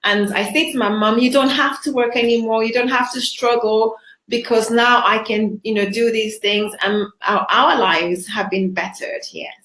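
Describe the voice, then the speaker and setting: A woman's voice: fairly high pitch, steady tone, normal speed, fairly bright tone. Earnest woman from zambia, echoey room. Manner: earnest, reflective, with frequent fillers. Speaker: guest. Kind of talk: personal testimony in an interview.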